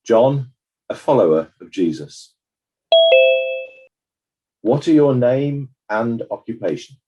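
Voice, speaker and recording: A man playing a formal, probing examiner putting deliberate questions in a courtroom drama. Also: medium pitch, lively intonation, muffled recording, noisy background. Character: formal, probing male examiner at a legal inquest.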